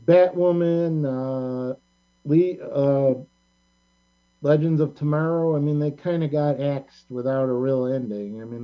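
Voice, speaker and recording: A middle-aged man hosting a casual panel discussion, talking in a rambling, halting way. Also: medium pitch. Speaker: talk show host. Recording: muffled lo-fi audio with mains hum.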